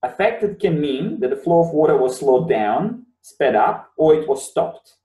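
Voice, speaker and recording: A Bosnian-native man in his thirties walks students through a webinar lesson, repeating key words to drive them home. His voice is mellow and low in brightness. He sits a little off the mic, and the room around him rings. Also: very animated, a little slow, highs cut off. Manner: explanatory, repeats words for emphasis. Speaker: university lecturer. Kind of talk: webinar lecture. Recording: roomy sound, mic at a distance.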